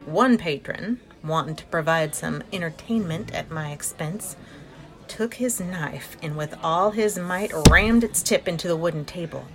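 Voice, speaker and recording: A woman's voice: fairly low pitch, a little slow, poor audio. A woman playing a modest, earnest storyteller in a fantasy audio drama. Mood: tense recounting. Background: background music.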